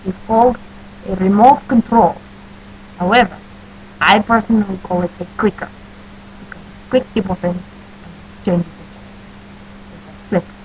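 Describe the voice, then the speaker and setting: A woman with a New Jersey accent answering questions for an audio post in stiff, overly formal phrasing with deadpan silliness. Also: mid-range voice, moderate pace, pauses often, muffled recording, a little hiss or hum.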